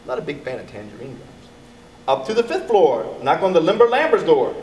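A middle-aged man reading a children's storybook aloud in an expressive, deliberate manner. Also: a little high. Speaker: elementary school staff member reading to children. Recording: echoey, distant mic with background noise.

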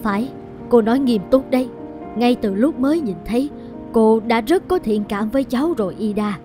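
A woman voicing a wealthy, kindly middle-aged lady in an animated moral tale, speaking politely and deliberately. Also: fairly high pitch, faint music behind, lightly acted. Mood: reassuring.

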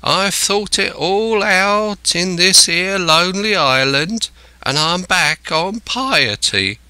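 A man's voice: high-pitched, expressive, slow, bright, forceful tone, clean tone, no echo, pitched above the performer's own voice, exaggerated voice, low-quality recording. A man voicing a marooned, half-crazed old seafarer in a storybook, sly and childish, with rambling, wheedling rustic sailor speech. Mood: rambling, pious.

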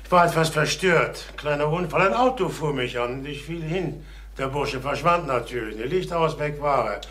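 An actor playing a nervous, defensive older travelling salesman who confesses, in a halting, brooding radio crime drama manner. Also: on the high side, fairly bright tone, natural voice. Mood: uneasy.

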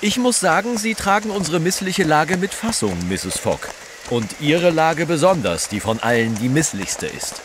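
Radio play role: pompous, etiquette-bound British reporter, animated pitch, noisy rainy outdoor scene. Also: male voice, moderate pace. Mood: stuffy, indignant. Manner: pompous, fussy. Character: prim British male reporter, stuffy and proper. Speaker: actor in an audio drama. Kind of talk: German radio play acting. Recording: noisy outdoor rain backdrop.

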